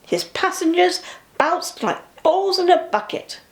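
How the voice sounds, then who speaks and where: An older woman, a grandmother, reading a children's story aloud with expressive narration. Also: very high-pitched, slow, creaky.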